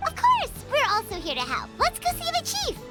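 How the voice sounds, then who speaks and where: A woman voicing a small, excitable childlike fairy companion in a video game, squeaky and bubbly, chatty and exclaiming. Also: a little fast, loud music behind the voice, exaggerated voice. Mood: eager.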